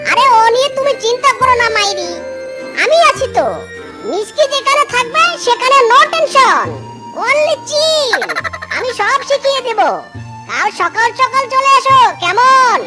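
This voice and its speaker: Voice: very high voice, animated, unhurried. An actor voicing a sly, greedy, lazy male cartoon fox, with an exclamatory, cajoling manner. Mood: bossy, cajoling. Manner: exclamatory, cajoling, catchphrase-laden. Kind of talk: exaggerated children's cartoon acting. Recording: music behind the voice.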